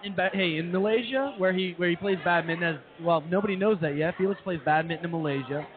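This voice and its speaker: A man's voice: high-pitched, fast. A man co-hosting a comedy radio show in casual banter. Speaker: radio co-host. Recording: thin phone-like sound, crowd noise.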